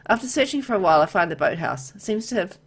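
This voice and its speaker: A woman's voice: mid-range voice, big pitch swings, quick pace, gravelly, breathy edge, soft background music. A woman reading a visual novel aloud as she plays, mixing narration with casual asides.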